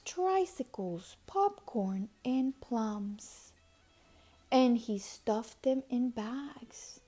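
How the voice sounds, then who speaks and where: Woman reading a kids book out loud, really slow and dramatic, highish voice. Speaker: storybook reader. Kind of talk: expressive children's storybook read-aloud.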